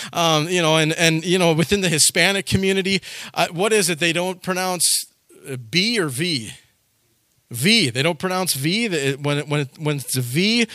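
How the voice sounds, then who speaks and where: A man's voice: fairly high pitch, fairly bright tone, dry recording. A man preaching a sermon in a conversational, folksy way, with frequent repeated words and side remarks. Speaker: pastor.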